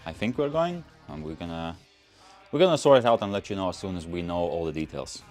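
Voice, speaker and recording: A young Latvian man with a very low voice answers viewer questions in loose, rambling English full of restarts. Faint music plays behind him. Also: animated, brisk, darkish tone. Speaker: car channel host. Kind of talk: casual livestream Q&A reading chat.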